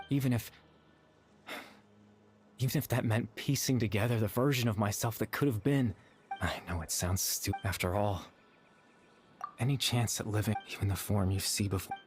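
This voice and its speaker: An actor playing a gentle, sorrowful young man in a video game, speaking softly and hesitantly with wistful remorse. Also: on the low side, normal speed, pauses often, soft background music, natural voice. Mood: wistful, regretful. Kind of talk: dramatic video game dialogue.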